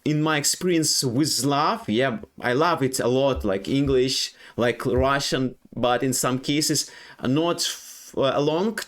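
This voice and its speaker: A young Ukrainian man with a Ukrainian accent, speaking English as a second language in a halting personal monologue full of fillers and restarts. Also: medium pitch. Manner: halting, many fillers and restarts, non-native grammar. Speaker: streamer.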